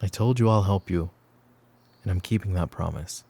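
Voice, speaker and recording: A man playing a brooding mafia boss in a roleplay ASMR audio drama, calm, protective and teasing, with a softly commanding way of talking. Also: low-pitched, moderate pace, creaky. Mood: firm, reassuring. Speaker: voice actor.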